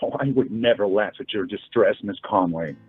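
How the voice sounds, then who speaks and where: An actor playing an earnest, polite young suitor in a radio play, courteous but halting and flustered. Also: male voice, on the low side, slightly rough. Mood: sympathetic. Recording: tinny phone line with music behind.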